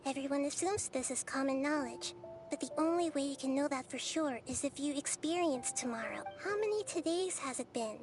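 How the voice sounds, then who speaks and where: A woman voicing a serene, wise girl deity in a video game, soft, youthful and measured as she explains. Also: high voice, natural voice. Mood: philosophical. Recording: background music under the dialogue.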